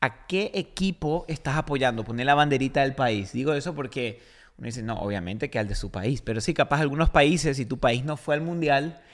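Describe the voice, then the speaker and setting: A man with a Paraguayan Spanish accent speaks at a middling pitch. He hosts a religious podcast as a pastor, talking conversationally and repeating phrases for emphasis as he tells stories. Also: a little fast. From Paraguay, born in Argentina.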